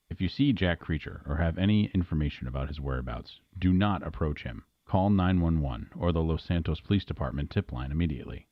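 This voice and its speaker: A man as a very deep-voiced news anchor. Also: no room sound, lo-fi sound. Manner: formal, measured delivery. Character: grave male local news anchor. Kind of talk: scripted TV news bulletin.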